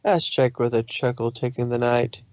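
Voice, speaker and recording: A man reading fan fiction aloud as an expressive story narrator. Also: medium pitch, normal speed, dry recording, highs cut off.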